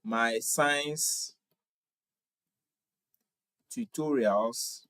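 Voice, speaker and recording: A man's voice: medium pitch, extremely slow, pushed and bright, no echo. A man in his twenties from Ghana with a Ghanaian accent, hosting a casual livestream, reading viewer comments aloud and answering them in a friendly way, often repeating himself. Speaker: livestream host.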